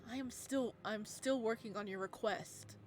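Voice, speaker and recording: A woman voicing a protective male living god in a game story, who speaks in a sharp, cold, clipped way. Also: a little high, normal speed, faint music behind, close to an everyday voice. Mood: angry.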